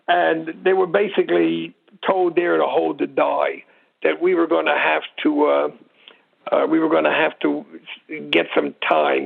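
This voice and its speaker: A man with a gravelly, husky voice explaining history informally as an interview guest, rambling and digressing as he tells it. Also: on the high side. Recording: muffled narrow phone-like line.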